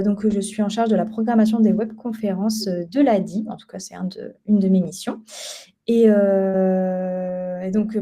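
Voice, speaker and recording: A young woman presents a live webinar from her office, with a very mellow, dark-toned voice. She talks in a relaxed, conversational way and often pauses with fillers. Her pitch sits in the middle. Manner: conversational with frequent hesitations. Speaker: project officer and webinar co-host. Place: office at headquarters.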